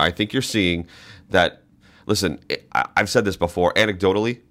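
A man's voice: low voice, fairly bright tone. A man hosting a podcast, giving political commentary in an emphatic way and repeating phrases for stress. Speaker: podcast host.

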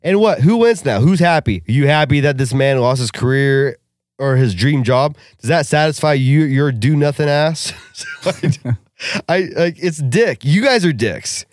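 A young man co-hosting a podcast, talking casually with animated, profane rants. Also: fairly high pitch, moderate pace, dry recording, studio quality. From Russian family background. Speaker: podcast co-host.

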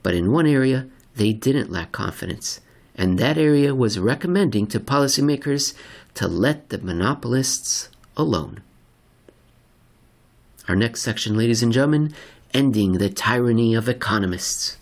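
A man narrating a scripted article as a podcast host, with theatrical, emphatic delivery and deliberate phrasing. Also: medium pitch, lively intonation.

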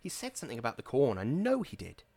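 A man's voice: mid-range voice, huge pitch swings, fast talker, warm tone, no room sound. A man voicing an uneasy young husband who speaks in a tense, questioning way.

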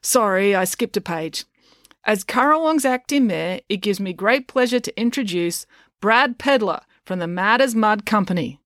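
A woman's voice: mid-range voice, lively intonation, normal speed, no echo, studio quality, voice pushed up, lightly acted. A woman voicing a fumbling male acting mayor in a storybook, reading a speech stiffly and hesitantly. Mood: flustered. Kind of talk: storybook character voice.

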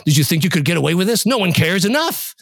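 A man voicing a chorus of cruel inner voices that hurl quick, shouted accusations. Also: high voice, very animated, creaky, husky, no echo, voice pushed up, heavily acted. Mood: berating. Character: shrill berating inner voices, cruel and frantic.

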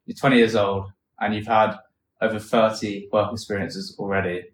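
A man hosting a podcast, asking long, relaxed, rambling questions. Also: fairly low pitch, normal speed. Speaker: podcast host. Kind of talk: casual podcast interview. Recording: roomy echo, faint room noise.

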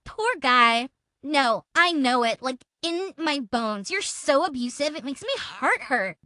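Woman acting out a high, bright, accusing harasser. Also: expressive, measured pace, no room sound, clean studio sound, full character voice. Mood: scornful. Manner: accusatory, aggrieved. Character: abusive, self-pitying, accusing woman harassing someone by text. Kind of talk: dramatic reading of a text exchange.

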